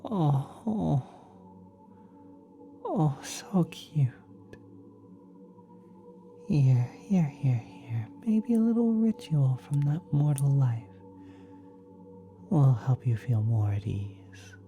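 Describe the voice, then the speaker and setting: Woman doing a gentle cosmic parent asmr voice, super low n breathy, slow, tender whispering, soft music behind. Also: big pitch swings, exaggerated voice. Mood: soothing. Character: kind, doting ethereal cosmic being, parent of a mortal. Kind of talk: ASMR roleplay.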